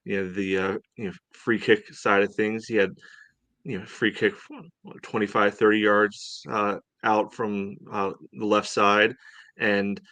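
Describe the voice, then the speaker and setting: Halting man on a soccer podcast, close dry sound. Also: fairly low pitch, fairly bright tone, dry recording. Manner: halting, peppered with "you know". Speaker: podcast co-host. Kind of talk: casual soccer match analysis.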